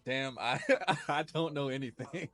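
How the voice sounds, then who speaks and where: A man hosting a casual chat, relaxed and laughing often, with plenty of filler words. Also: fairly high pitch, takes it slowly. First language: English. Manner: laid-back, laughs often, many fillers. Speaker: host. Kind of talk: casual conversation.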